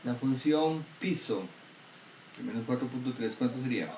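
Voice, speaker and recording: A man's voice: medium pitch, lively intonation, normal speed, darkish tone. Man lecturing in an echoey classroom. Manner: explains step by step, asks students questions. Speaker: math lecturer. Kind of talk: university lecture. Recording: echoey room, distant dull mic, faint noise.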